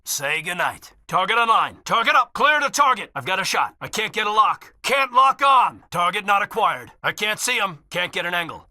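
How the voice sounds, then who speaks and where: An actor playing an earnest, guarded military officer in a video game, with a husky voice and a respectful, formal manner. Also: male voice, high voice, pushed and bright, dry recording, studio quality, heavily acted. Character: earnest, guarded young soldier with biotic powers, a loyal officer.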